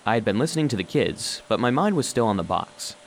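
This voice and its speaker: A man's voice: mid-range voice, sing-song, fast talker, slight background noise. A man playing an earnest, loyal little robot vacuum cleaner who narrates a children's audio story. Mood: earnest, storytelling. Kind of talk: scripted first-person children's story narration.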